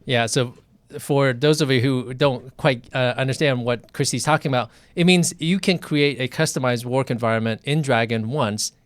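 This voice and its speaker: A man's voice: mid-range voice, moderate pace, no room sound. A man hosting a casual live video interview, talking enthusiastically with many restarts and fillers. English is not his first language.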